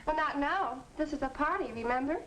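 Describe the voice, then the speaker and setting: High-voiced 1950s teen girl. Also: brisk, a bit bright. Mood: chirpy, sociable. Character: friendly, chirpy teenage girl at a party. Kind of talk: 1950s educational film acting. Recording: faintly noisy old film soundtrack.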